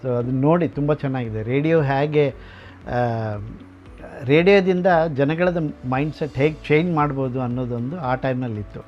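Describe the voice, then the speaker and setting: A man's voice: medium pitch, moderate pace. A man explaining and telling stories about his collection informally, conversationally mixing in English words. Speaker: radio collector and museum keeper. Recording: dull lo-fi sound, faint music behind.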